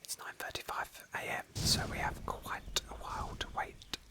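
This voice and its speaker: A woman speaking in a hushed, breathy whisper close to the microphone, softly narrating a video game roleplay as an ASMR host. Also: moderate pace.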